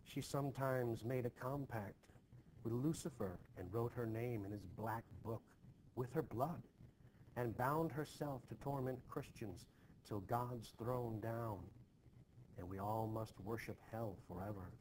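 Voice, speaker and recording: A man playing a timid, shaken young Puritan servant girl in an expressive play reading, meek and pleading in archaic phrasing. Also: medium pitch, a little slow, lightly acted. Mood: tearful, fearful. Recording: noisy home recording.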